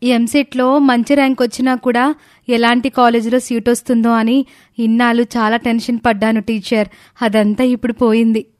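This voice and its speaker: A woman's voice: on the high side, little pitch movement, moderate pace, no room sound, studio quality, pitched above the performer's own voice, lightly acted. A woman voicing an eager, respectful young female student in a story, earnest and polite. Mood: relieved, polite.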